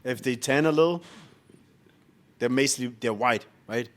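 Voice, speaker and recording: A man's voice: medium pitch, a little slow. Blunt man in casual podcast chat. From Denmark. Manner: blunt, frequent swearing. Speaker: podcast co-host.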